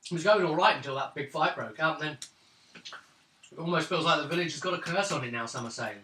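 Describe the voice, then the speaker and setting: A man's voice: on the high side, fast talker, bright, forceful tone. A man improvising narration and dialogue as a tabletop game master. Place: room around a gaming table. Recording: roomy, distant mic, faint noise.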